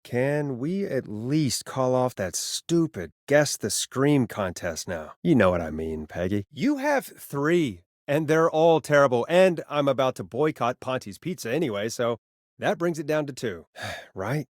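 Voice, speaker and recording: A world-weary late-night radio host in a video game. His mid-pitched male voice moves around a lot, close and dry in sound. He is sardonic and conversational, with a caring streak under the wry patter. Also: big pitch swings, unhurried, no room sound. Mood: sardonic, grumbling.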